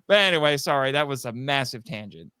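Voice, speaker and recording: A man speaking as a podcast guest in an animated, casual discussion, wandering into tangents with stammering restarts and frequent chuckles. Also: a little high, no room sound, clean studio sound. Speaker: podcast guest, voice actor and writer.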